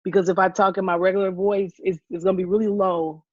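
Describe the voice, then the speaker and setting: A woman co-hosting a casual podcast over a video call, hoarse from losing her voice and talking loudly to be heard, with frequent ums. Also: mid-range voice, level tone, quick pace, a little hiss or hum. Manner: talks loudly to push past a weak voice, with frequent ums. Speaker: podcast co-host. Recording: dull lo-fi video call audio.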